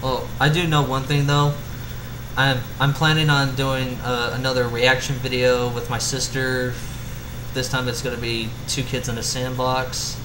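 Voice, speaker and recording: Halting man in an echoey, noisy room. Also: mid-range voice, little pitch movement. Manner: halting, stumbling over and repeating words. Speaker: fan fiction reader. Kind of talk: amateur story reading and casual chat. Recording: roomy echo with clear background hum.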